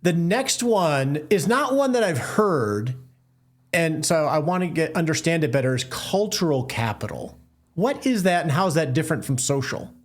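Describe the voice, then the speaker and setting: Man, podcast host, curious questions, summarizing, slightly high voice. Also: sing-song, normal speed. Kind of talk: interview conversation.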